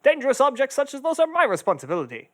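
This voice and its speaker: A man playing a vain, hot-tempered, hard-drinking detective with a loud, pompous, theatrical delivery in an adventure parody. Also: extremely high pitch, huge pitch swings, racing, full character voice. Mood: smug. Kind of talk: old radio adventure parody acting.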